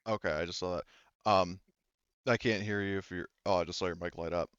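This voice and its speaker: A man narrating a tabletop game as game master in a halting, informal, improvised way. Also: deep voice, steady tone, fairly bright tone, smooth, no echo, lo-fi sound. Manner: halting, informal, swears casually.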